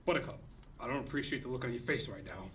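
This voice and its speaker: A man's voice: mid-range voice, fast talker, fairly bright tone, lightly acted. A man voicing a smug, controlling manager of superhero girls in a comedic table read. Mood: condescending. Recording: slightly distant mic, faint noise.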